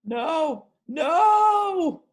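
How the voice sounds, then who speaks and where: Woman playing very shrill, terrified horror victim. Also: animated, very slow, heavily acted. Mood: terrified, desperate. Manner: desperate, crying out. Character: wounded, terrified young man screaming for help. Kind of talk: amateur horror screenplay table read.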